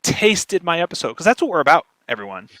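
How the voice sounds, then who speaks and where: A man's voice: on the high side, big pitch swings, fast, a bit bright, slight background noise. A man speaking as a guest expert, explaining things casually and emphatically, often checking in with the listener. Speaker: guest speaker, podcast marketer.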